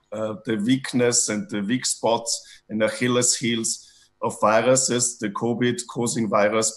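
Low, flat-voiced man presenting. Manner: halting, searching for words. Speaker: company co-founder, panelist. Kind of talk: conference panel presentation. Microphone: video call.

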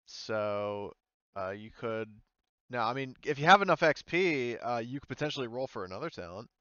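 A man's voice: medium pitch, expressive, normal speed, pushed and bright, clean tone, no echo, dull audio. A man speaking informally as game master, chatting through a tabletop session in a loose, hesitant way. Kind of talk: casual tabletop game chat.

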